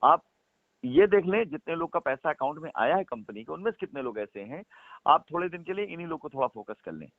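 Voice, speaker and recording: An insistent man presses his case on a call, his somewhat high voice rising and falling in lively swings. The sound is thin and phone-like, with no room sound at all. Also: fast, gravelly, no echo. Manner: insistent, emphatic, repeats his points. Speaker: company representative on a phone call. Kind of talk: persuasive phone conversation. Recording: tinny phone line.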